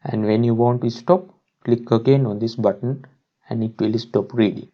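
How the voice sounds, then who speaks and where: A man presenting a step-by-step software tutorial as a screen-recording voice-over. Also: mid-range voice, fairly even intonation, moderate pace, dull audio. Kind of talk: instructional screen-recording narration.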